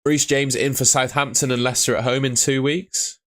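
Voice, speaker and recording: A man's voice: medium pitch, little pitch movement, a little fast, fairly bright tone. A young man who is losing his voice, streaming from his room at home and answering viewer questions in a blunt, chatty way. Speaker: fantasy football streamer.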